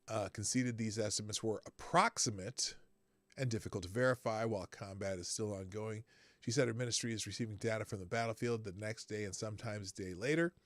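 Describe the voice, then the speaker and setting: A man's voice: fairly low pitch, no room sound. A man hosting a live news commentary stream, reading headlines aloud and answering chat, with frequent restarts and fillers. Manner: halting, many restarts and fillers, reads articles aloud, chats with viewers.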